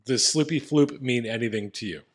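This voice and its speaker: A man with a deep voice co-hosting a podcast, laid-back and reading out listener reviews. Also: normal speed. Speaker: podcast co-host. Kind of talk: casual comedic chat.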